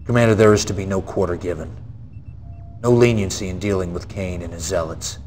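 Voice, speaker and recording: An actor playing a stern, earnest military general, giving a grave, emphatic mission briefing in a video game. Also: male voice, deep voice, steady tone, brisk, a little mellow, slight background noise, close to an everyday voice. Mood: grim, fierce. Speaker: military commanding officer.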